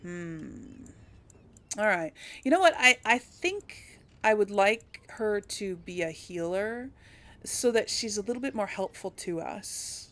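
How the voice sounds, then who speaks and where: A woman's voice: mid-range voice, expressive, slow pace, fairly bright tone. A woman giving casual game commentary as a let's play host, chatting and thinking aloud. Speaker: let's play host, role-playing a character.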